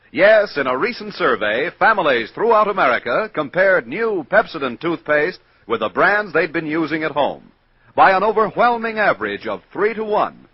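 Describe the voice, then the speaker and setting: Husky, animated 1940s radio ad man. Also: on the high side, dry recording. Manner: emphatic sales delivery. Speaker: commercial announcer. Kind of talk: 1940s radio advertisement read. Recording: dull, dry 1940s radio recording.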